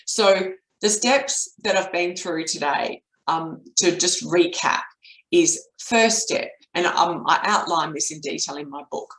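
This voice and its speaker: A middle-aged woman with an Australian accent and a voice croaky from a cold, explaining steps as a business coach in a webinar. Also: fairly low pitch, a little slow, fairly bright tone. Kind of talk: explanatory webinar talk with step-by-step recap. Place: indoor home office, at a desk. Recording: roomy, echoey webcam audio.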